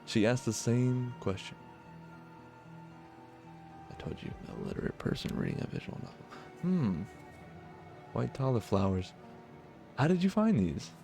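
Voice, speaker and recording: A man's voice: mid-range voice, huge pitch swings, unhurried, creaky, long pauses. A man reading a visual novel aloud and reacting casually with informal, self-deprecating commentary. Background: music.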